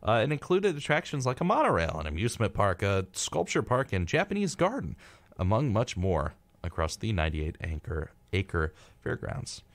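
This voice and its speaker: A man's voice: fairly low pitch, wildly swooping pitch, normal speed, no echo. A man co-hosting a casual live radio show, reading through a rundown and tossing in flippant, irreverent asides. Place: radio studio.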